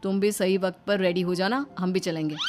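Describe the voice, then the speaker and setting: A man's voice: sits high, fairly even intonation, brisk, lightly acted. A man voicing a resigned, exasperated young husband in a cartoon story, pleading and complaining. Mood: casual. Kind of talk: Hindi cartoon story dubbing. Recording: background music behind the voice.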